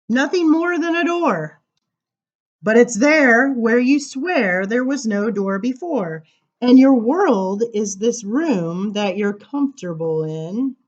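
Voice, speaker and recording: A woman reading children's poems aloud at story time, informal, with dramatic emphasis and drawn-out words. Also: a little high, a little mellow, dull audio. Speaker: library story time reader.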